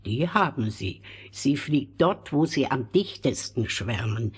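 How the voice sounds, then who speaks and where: A woman playing a kindly old grandmother in a fairy-tale audio drama, husky, measured and mysterious. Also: rumbling low, lively intonation, heavily acted. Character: kindly old grandmother telling winter tales.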